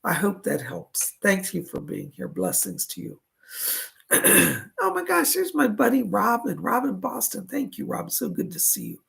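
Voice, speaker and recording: A raspy man with a heavily gravelly, crackling edge to his voice, talking as a psychic medium during live readings. His pitch swings widely up and down as he chats along in a soothing, reassuring way. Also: sing-song, normal speed, fairly bright tone.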